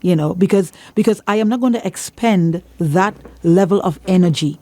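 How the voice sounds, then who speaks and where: Mellow Trinidadian woman, emphatic church leader. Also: on the low side, moderate pace. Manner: emphatic, repeats phrases for emphasis. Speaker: church leader, interview guest. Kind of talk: conversational interview with preacher-like testimony.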